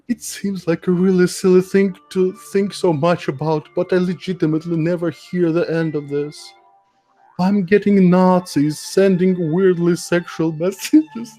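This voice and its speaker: A man's voice: sits high, steady tone, unhurried, warm tone. A man streaming a mock-dramatic reading of a social media thread, theatrical and giggly, with amused asides. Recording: music playing behind the voice. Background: background music.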